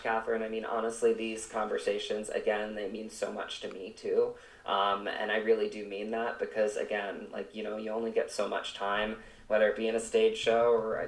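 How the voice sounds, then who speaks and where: Man, low pitch, earnest, halting delivery, reflective interview talk, echoey room. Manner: earnest, halting, repeats words while searching for phrasing. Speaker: actor, podcast guest. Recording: roomy, echoing, distant from mic.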